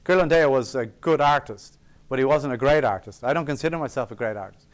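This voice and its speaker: Male sculptor speaking at a lecture, mid-pitched and resonant, reflective and sincere, dry humor about himself. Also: brisk. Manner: earnest and reflective, with self-deprecating asides. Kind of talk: public lecture on sacred art. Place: college auditorium.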